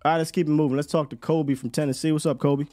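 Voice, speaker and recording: A man's voice: a little high, steady tone, fast talker, warm tone, soft background music. A man hosting a sports call-in show, a bit nasal from a cold, talking in a slangy, confident way. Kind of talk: casual sports talk with callers.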